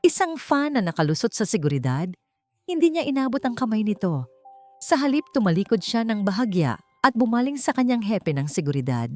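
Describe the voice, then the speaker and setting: Expressive woman storyteller over soft music. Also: brisk. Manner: expressive, rises in pitch for dramatic moments. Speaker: audiobook narrator. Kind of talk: scripted storytelling narration. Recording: faint background music.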